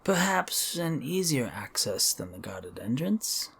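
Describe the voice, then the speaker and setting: A man voicing a smug, scheming elf spellcaster in a fantasy audio drama, musing theatrically in a husky voice. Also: mid-range voice, big pitch swings, slow, fairly bright tone, exaggerated voice.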